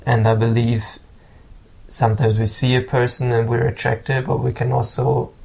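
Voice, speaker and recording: A man, a guest musician who speaks haltingly and repeats words as he looks for them. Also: mid-range voice, little pitch movement, vocal fry, darkish tone. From Germany. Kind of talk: casual interview talk.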